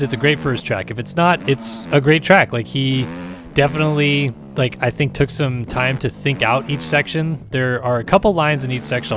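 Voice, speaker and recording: A man hosting a gaming channel, talking through gameplay in a casual, rambling way, his voice raspy and tired. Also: medium pitch. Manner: rambling, filler-heavy, easygoing.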